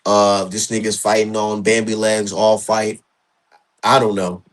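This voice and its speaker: A man in his thirties hosting a casual boxing talk show, ranting in an animated, repetitive way. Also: on the low side, a little slow. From Minnesota. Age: 30s. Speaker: podcast host.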